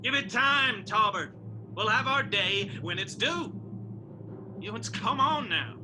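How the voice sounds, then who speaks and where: Actor as sharp, bright Southern elder brother. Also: male voice, sits high, lively intonation, slow, a little hiss or hum, exaggerated voice. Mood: exasperated, restraining. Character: level-headed elder brother in mountain clan. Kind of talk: radio drama acting.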